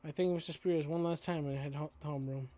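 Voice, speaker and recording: A game streamer with a raspy, mid-pitched voice reads a visual novel aloud. The delivery is casual and a little stumbling, with music behind it. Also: fairly even intonation, fast, warm tone. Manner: stumbles and rereads lines.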